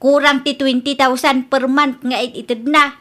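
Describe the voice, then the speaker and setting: A woman's voice: sits high, little pitch movement, measured pace, bright, forceful tone. A woman playing a greedy, gambling older mother in a comedy radio drama, blunt, nagging and insistent. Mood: demanding.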